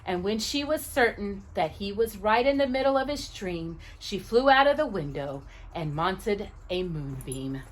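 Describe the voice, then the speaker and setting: A woman's voice: mid-range voice, expressive, unhurried, few pauses, rough recording. A woman reading a children's picture book aloud expressively, with casual asides to the listener.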